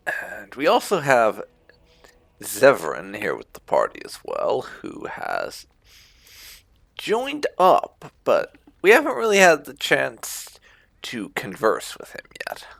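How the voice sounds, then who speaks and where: Male let's play host, very animated pitch, unhurried pace, halting and thoughtful, casual commentary. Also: vocal fry. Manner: halting, thoughtful delivery with murmured hums. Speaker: gaming video host. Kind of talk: casual let's play commentary, reading dialogue choices aloud in roleplay.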